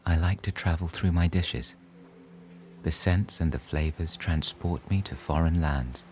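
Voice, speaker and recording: A man narrating a scripted bedtime story in a soothing, measured manner. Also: very deep voice, darkish tone, a little hiss or hum.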